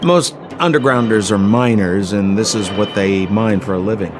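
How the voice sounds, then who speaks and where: A man's voice: lowish voice, normal speed, over music, lightly acted. An actor playing a slippery, fawning young male hustler in a video game, with theatrical salesman patter. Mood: explaining, breezy. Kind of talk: video game cutscene voice acting.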